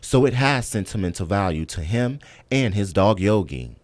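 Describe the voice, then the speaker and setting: A man's voice: lowish voice, animated, normal speed, slight background noise. An American man narrating scripted television news reports as a reporter.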